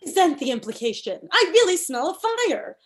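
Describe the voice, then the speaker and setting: A woman's voice: very high-pitched, very animated, normal speed. A woman playing a desperate campaign manager in a comedic table read, wailing shrilly and melodramatically as she fakes a stroke. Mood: indignant, fake panic.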